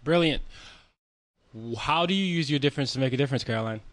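A man's voice: a little high, fast, no echo. A man hosting a podcast interview, asking questions in an enthusiastic, encouraging way. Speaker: podcast host.

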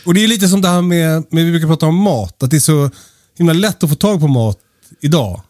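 A man's voice: on the high side, darkish tone, dry recording, studio quality. A man hosting a podcast in casual chat, informally thinking aloud with everyday examples. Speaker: podcast host.

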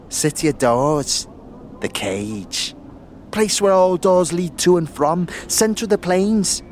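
A male actor plays a scrawny, wary young slum dweller in a fantasy audio drama. The voice sits fairly high and trips over itself with nervous stammers and rough street slang. A light layer of noisy ambience runs behind it. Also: animated, extremely slow, pauses often, full character voice. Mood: warning, guarded.

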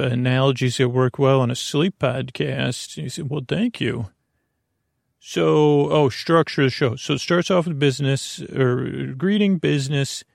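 A man hosting a sleep podcast, rambling in a halting, meandering way with a creaky, gravelly voice and drifting into whimsical tangents. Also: mid-range voice, no echo, clean studio sound. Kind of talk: rambling bedtime monologue.

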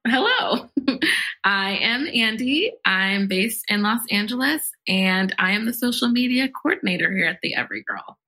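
A woman's voice: medium pitch, expressive, moderate pace, bright, forceful tone. A young adult woman guest chatting in a playful, giggly way. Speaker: social media coordinator, podcast guest. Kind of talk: casual chat.

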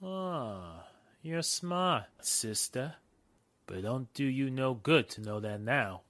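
A man's voice: medium pitch, lively intonation, slow, fairly bright tone. An actor playing a menacing American gangster henchman in a 1940s radio drama, talking in sneering, slangy tough-guy style.

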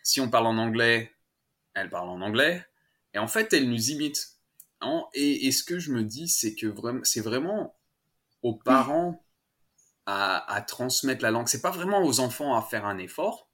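A man's voice: mid-range voice, expressive, moderate pace, a bit bright. A man from France, a father as interview guest, chatting casually with many fillers, restarts and laughs. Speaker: guest, French-speaking father.

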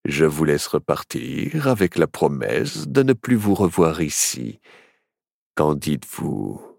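A man's voice: a bass voice, big pitch swings, slow pace, gravelly, a little mellow, no echo, clean studio sound, pitched below the performer's own voice, exaggerated voice. A man voicing a menacing, gloating circus ringmaster villain in a theatrical, threatening way for a children's story.

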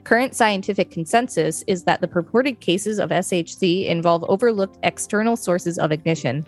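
A woman's voice: on the low side, normal speed, faint music behind. A woman co-hosting a podcast, chatting casually and reading an article aloud. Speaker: podcast co-host.

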